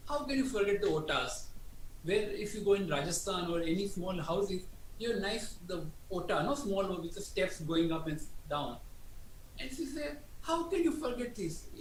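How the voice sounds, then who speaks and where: An echoey recording with faint noise carries a man. His voice is notably high for a man, and he talks conversationally, like an interview guest, seeking agreement with short tag questions. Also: animated, moderate pace. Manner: tags statements with "no?".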